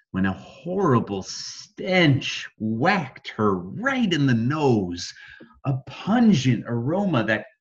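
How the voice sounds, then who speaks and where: Slow, animated American man reading bedtime stories. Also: medium pitch, highs cut off. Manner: dramatic emphasis, deliberate phrasing. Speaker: storybook reader. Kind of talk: expressive children's bedtime story reading in rhyme.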